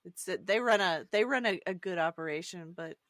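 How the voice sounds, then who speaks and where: A woman's voice: fairly low pitch, brisk, a bit bright, dry recording. Casual woman podcaster, dry close recording. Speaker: podcast co-host. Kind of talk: casual comedic discussion.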